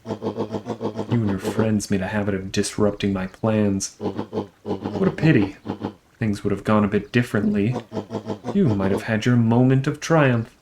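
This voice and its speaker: Man playing a slow, gloating villain. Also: lowish voice, fairly even intonation, vocal fry, background noise. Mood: smug menace. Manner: pompous, theatrical, deliberate. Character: gloating old male villain, grandiose small-town tyrant. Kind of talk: video game character voice acting.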